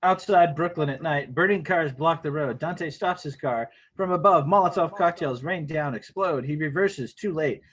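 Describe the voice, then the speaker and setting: A man's voice: fairly high pitch, normal speed, few pauses, fairly bright tone. A man reading out stage directions as narrator at a table read, in a terse scripted delivery.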